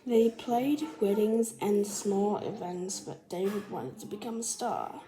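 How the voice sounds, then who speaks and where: Slow, mellow-voiced woman reading aloud. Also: mid-range voice, animated, a little hiss or hum, rough recording. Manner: careful, halting reading with stumbles and self-corrections. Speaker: storybook reader. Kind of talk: reading a children's biography aloud.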